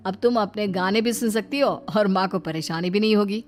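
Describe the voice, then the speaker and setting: A woman voicing a calm family man who reassures and explains in a cartoon moral story. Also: medium pitch, fairly quick, pitched below the performer's own voice, close to an everyday voice. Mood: calm, reassuring. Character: calm, placating family men, husband and father-in-law. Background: faint music.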